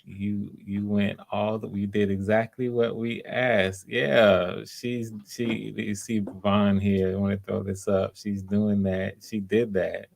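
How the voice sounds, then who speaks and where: A man's voice: on the low side, little pitch movement, vocal fry, darkish tone. A man hosting auditions on a live video call, chatting casually and encouragingly, often repeating himself as he goes. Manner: effusive encouragement, repeats phrases, halting. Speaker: audition host, writer-director. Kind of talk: casual live-stream chat.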